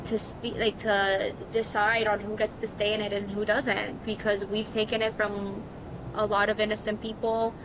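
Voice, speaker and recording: A woman's voice: medium pitch, flat delivery, normal speed, muffled recording, noisy background. A woman with an American accent talking casually as a podcast co-host. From Miami, Florida. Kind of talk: casual chat.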